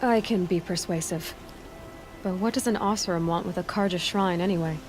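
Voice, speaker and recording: An actress playing a young, plain-spoken heroine in a video game, direct and sceptical yet compassionate. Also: female voice, on the low side, brisk, darkish tone, faint music behind, natural voice. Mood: firm.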